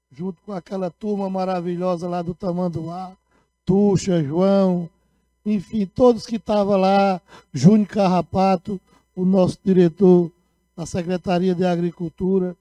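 Middle-aged councilman, sore throat, high and monotone, repetitive shout-outs, dry handheld mic. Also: no echo. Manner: shout-outs to rural communities, repeats phrases, gestures widely. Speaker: city councilman. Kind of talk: council floor speech. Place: municipal council chamber, indoors. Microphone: handheld microphone.

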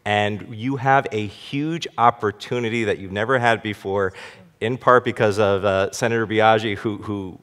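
Low-pitched panelist in his thirties, pointed and critical, reverberant stage hall. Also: fairly even intonation, normal speed, fairly bright tone. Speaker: panelist, city council member. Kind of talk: panel discussion. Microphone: headset mic.

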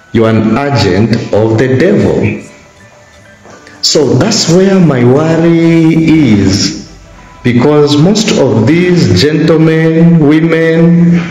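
A man with a Zambian accent preaching a slow, solemn warning as a prophet, recorded close on a phone. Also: medium pitch, darkish tone. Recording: roomy echo, faint music behind.

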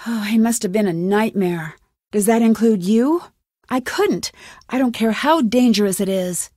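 A woman voicing a young amateur detective in a video game, inquisitive and determined, with a clear, earnest, questioning delivery. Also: medium pitch, unhurried, a little mellow, no room sound, clean studio sound, close to an everyday voice. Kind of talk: scripted game dialogue, isolated lines.

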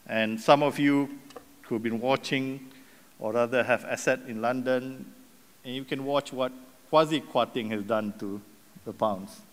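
A man's voice: mid-range voice, measured pace. Casual middle-aged man at a lectern. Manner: casual, rhetorical questions, tag "right?". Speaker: session host introducing the speaker. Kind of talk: speech from the podium. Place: large conference hall stage. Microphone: lectern microphone. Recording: long hall reverb.

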